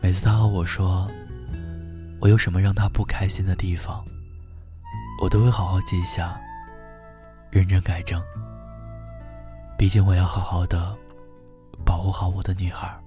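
An actor playing a gentle, devoted young boyfriend in a radio drama, speaking softly, tenderly and unhurriedly with a wistful air. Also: male voice, deep voice, level tone, natural voice. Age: young adult. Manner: soft, tender, unhurried, breathy phrase endings. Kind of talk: intimate first-person radio drama narration. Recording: music behind the voice.

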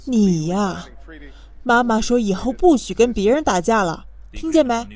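A woman's voice: a little high, expressive, measured pace, darkish tone. A woman acting in a radio drama as a protective mother, chiding and fussing but tender. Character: scolding yet tender, protective mother of a young son.

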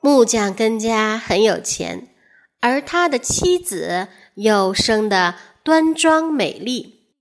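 A woman reads a children's fairy tale as a storyteller. Her voice is fairly high and very lively in pitch, and she takes her time, narrating with measured expression.

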